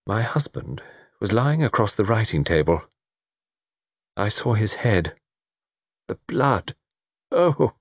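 A man voicing an anguished, refined upper-class lady in a storybook mystery, speaking haltingly. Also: mid-range voice, expressive, husky, no room sound, studio quality, pitched above the performer's own voice. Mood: distraught. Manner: halting, breaking off mid-phrase.

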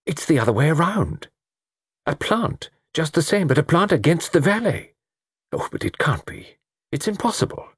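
A man voicing a fussy, excitable elderly British gentleman in a storybook, savouring his words theatrically. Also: fairly high pitch, normal speed, breathy edge, no echo, clean studio sound, voice pushed up. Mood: astonished.

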